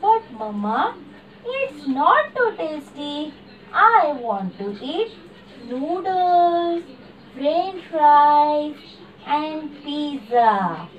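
A whiny, hungry little girl, voiced by a woman, high and puzzled. She speaks very slowly, heard from across the room. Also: sing-song, heavily acted. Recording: distant room mic.

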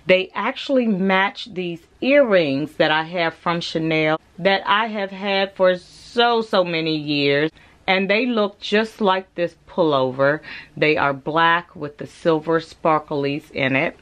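A woman's voice: on the low side, slow, fairly bright tone. A woman, chatting casually to camera as a vlogger and calling her viewers "y'all". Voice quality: raspy.

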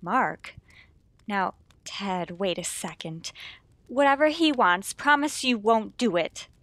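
A woman's voice: mid-range voice, expressive, slow pace, a bit bright, no echo. A woman playing a secretive, sweetly domestic suburban wife in a radio play. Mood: pleading.

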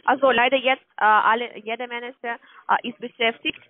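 Female call-centre manager, foreign accent, slightly high voice, hesitant non-native phrasing, narrow phone-line sound. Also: fairly even intonation. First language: not German. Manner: halting, searching for words. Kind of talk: phone conversation. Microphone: telephone line. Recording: tinny, narrow-band phone call recording.

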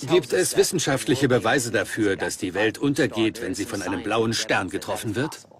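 A man's voice: fairly low pitch, lively intonation, moderate pace, crowd noise, lightly acted. A man playing a curious investigator, putting questions in a dubbed documentary. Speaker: interviewer.

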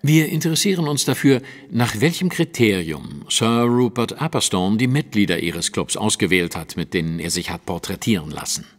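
A man's voice: lowish voice, lively intonation, moderate pace, hardly any pauses. A man playing a keen, self-assured detective in an audio drama, speaking with formal precision. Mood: polite, formal.

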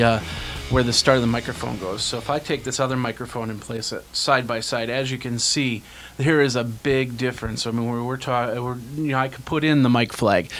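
A man's voice: medium pitch, fairly bright tone, dry recording. A middle-aged man with a boisterous voice, speaking into a handheld mic in a small home studio and rambling casually with lots of restarts as he demonstrates gear. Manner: rambling, many restarts and fillers. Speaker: tech video host. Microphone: handheld dynamic mic held near the mouth.

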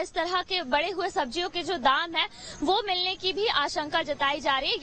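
A woman's voice: very high-pitched, pushed and bright. A young woman field reporter doing a street news piece at an outdoor vegetable market, speaking into a handheld mic with frequent "uh" fillers.

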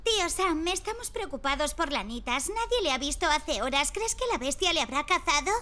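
Very high-pitched, earnest cartoon child. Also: female voice, animated, brisk. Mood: worried. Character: kind, concerned, protective young child. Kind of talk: dubbed children's cartoon acting. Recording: loud music behind the voice.

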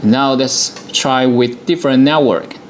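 Man presenting software step by step, noisy recording. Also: mid-range voice, a little slow. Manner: explanatory, step-by-step instruction. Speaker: product presenter. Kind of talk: software demonstration in a two-person dialogue.

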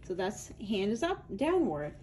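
A woman's voice: mid-range voice, sing-song, unhurried, darkish tone, a little hiss or hum. A woman reading aloud to young children in an instructional way, adding her own asides and stretching out key words. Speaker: children's book reader.

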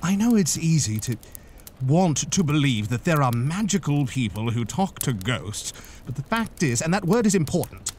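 Skeptic husband, male, played by an actor: condescending, irritable, emphatic and animated, for a horror audio play. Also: fairly high pitch, moderate pace, slight background noise. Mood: patronizing. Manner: sarcastic, emphatic. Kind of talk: audio horror drama acting.

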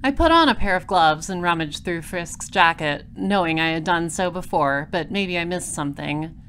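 A woman acts a composed female detective narrating her case in an audio drama. Her voice is a little low, measured and wry, on a recording with some faint noise. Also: expressive, a bit bright. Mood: focused narration.

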